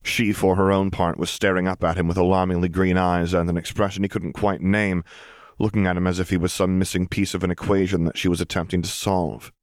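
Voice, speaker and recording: A man reading scripted fiction as an audiobook narrator, with a measured, literary delivery. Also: sits low, level tone, no room sound, studio quality. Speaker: audiobook reader.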